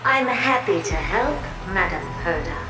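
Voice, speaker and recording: A woman's voice: medium pitch, animated, unhurried, lightly acted. An actress voicing a polite, dutiful female magic mirror servant in a video game, formal and deferential. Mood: amused, coy. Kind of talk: video game cutscene acting. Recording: echoey, distant-sounding voice. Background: loud music.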